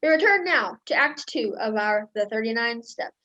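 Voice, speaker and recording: A woman's voice: fairly high pitch, lively intonation, moderate pace, highs cut off, close to an everyday voice. A woman reading scripted narration for a radio play.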